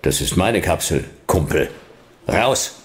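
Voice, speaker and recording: An actor playing a hard-boiled, weary detective in a German radio play, speaking in terse sentences with dry, laconic wit. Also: male voice, deep voice, animated, slow, husky, full character voice. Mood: curt, annoyed. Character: hard-boiled, weary male detective narrating his own case. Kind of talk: German radio play acting, noir first-person narration.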